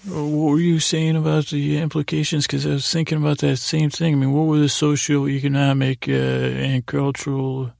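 A man performs a weary would-be sleeper in a bedtime comedy podcast, heavily creaky and close on a dry recording. He pleads haltingly, put-upon and easily sidetracked. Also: on the high side, monotone, darkish tone, dry recording. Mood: weary, distracted.

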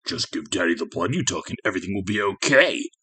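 A man's voice: low voice, animated, quick pace, pushed and bright, breathy edge, voice pushed down, exaggerated voice. A man voicing a sinister creature posing as a girl, coaxing and hissing cold demands in an audiobook. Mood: cold, demanding. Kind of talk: audiobook character acting.

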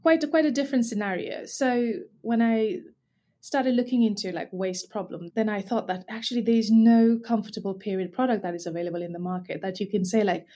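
A woman from Nepal, a company founder, talking as a guest in a relaxed, hesitant way with many restarts. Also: medium pitch, fairly quick. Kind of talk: casual interview chat. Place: industrial area, indoors.

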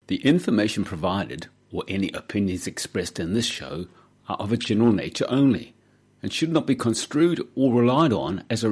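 A man's voice: on the low side, a little mellow, breathy edge. A man who hosts a studio podcast, reading the disclaimer and greeting his guest in a friendly way. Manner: friendly, brief interjections. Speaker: podcast host. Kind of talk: podcast interview and read disclaimer.

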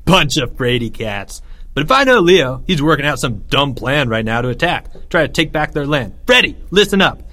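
A man's voice: a little high, sing-song, moderate pace, a bit bright, voice pushed up. A man voicing a scornful, bossy schoolboy who commands a playground army and barks orders in an audiobook story. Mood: commanding.

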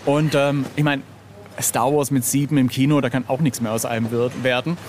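A man's voice: mid-range voice, steady tone, fairly quick, a little mellow, soft background music. A middle-aged man being interviewed as an illustrator, telling anecdotes in a casual, informal and self-deprecating way. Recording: clearly noisy background ambience.

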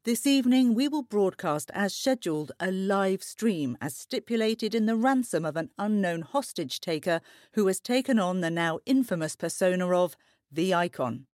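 An actor playing a composed female television news anchor, presenting formally in a measured, grave manner. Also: medium pitch, expressive, a little mellow, no echo, studio quality, natural voice.

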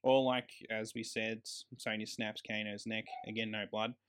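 A man's voice: fairly low pitch, flat delivery, normal speed, a bit bright, smooth. An Australian man co-hosting a podcast, discussing films casually and enthusiastically with emphatic, sweeping claims. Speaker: podcast co-host.

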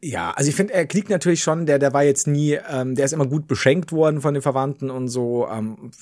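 A man's voice: medium pitch, fairly quick. A man, co-hosting a casual podcast and telling stories, acting out quotes in changing voices. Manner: animated storyteller, acts out quotes in changing voices. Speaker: podcast co-host. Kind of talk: casual chat.

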